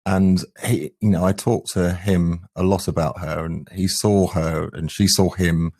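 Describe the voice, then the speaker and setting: A man's voice: sits low, level tone, measured pace, a little mellow, breathy edge, no room sound, studio quality. A middle-aged man, talking earnestly and thoughtfully as a musician being interviewed. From England. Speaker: band singer and guitarist, interview guest. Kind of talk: reflective interview talk.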